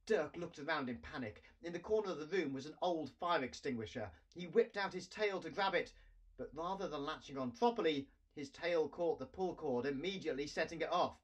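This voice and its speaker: A man in his forties giving an expressive reading of a children's story, as its author. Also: on the high side, fairly quick, husky, dull audio. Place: small cluttered room indoors.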